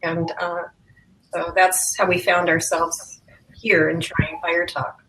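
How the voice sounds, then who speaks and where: A woman's voice: lowish voice, flat delivery, slow pace, a bit bright, poor audio. A woman making casual, friendly small talk as a member of a group on an online call.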